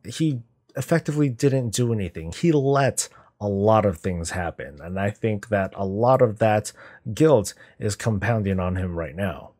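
A man in his thirties hosting a reaction video, giving off-the-cuff analysis in a deliberate, emphatic way. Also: on the low side, animated, a little mellow.

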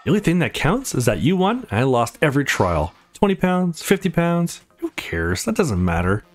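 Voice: medium pitch, huge pitch swings, normal speed, soft background music. A let's player voicing a sulky, self-pitying young athletic woman from a visual novel, blunt and bitter.

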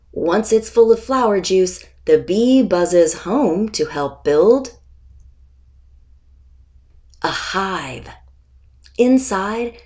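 A woman narrates a children's nonfiction picture book in a fairly low voice with some gravelly creak. She reads very slowly and deliberately, giving expression to the text and pausing before key words. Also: darkish tone. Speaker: author reading aloud.

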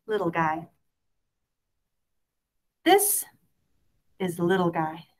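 A woman reads a children's story aloud expressively, in a sing-song way with drawn-out key words. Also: fairly low pitch, sing-song. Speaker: picture book reader.

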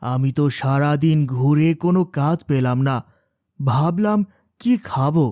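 A woman's voice: low voice, measured pace, mellow, no echo, clean studio sound. A woman voicing a caring, pious father with an emphatic manner in a children's moral cartoon. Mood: proud, weary.

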